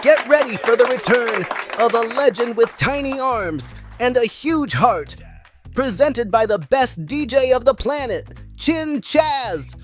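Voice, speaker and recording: Woman doing benny the puppet host, really high voice, playful n showy, music under it. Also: expressive, unhurried. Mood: showy, upbeat. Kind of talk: scripted puppet show presenting.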